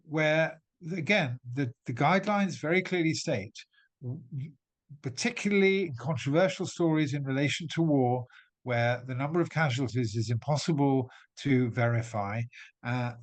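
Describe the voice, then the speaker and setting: A middle-aged man from England addresses an audience with an emphatic, point-by-point argument. His pitch sits in an ordinary middle register, and he often restarts phrases as he presses each point. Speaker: guest speaker. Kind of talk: talk to an audience.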